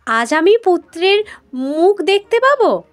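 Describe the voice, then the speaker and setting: A woman's voice: very high-pitched, very animated, takes it slowly, heavily acted. A woman storyteller voicing a great male god, a proud father making proclamations in a mythological tale. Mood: joyful. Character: great male god, joyful father naming his son. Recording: music behind the voice.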